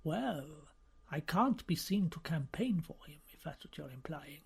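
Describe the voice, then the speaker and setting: A man's voice: on the high side, expressive, unhurried, gravelly, breathy edge, heavily acted. A man voicing an older cardinal dean who is modest and courteous, speaking in a formal, hesitant way. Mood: earnest, uneasy. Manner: formal, hesitant, self-effacing.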